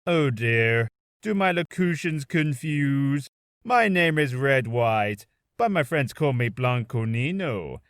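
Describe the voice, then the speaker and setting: A man's voice: medium pitch, expressive, slow pace, fairly bright tone, no echo, clean studio sound. A man playing a pompous, vain corporate tycoon as a comic game villain, grandiose and condescending. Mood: smug. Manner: grandiose, condescending, over-wordy.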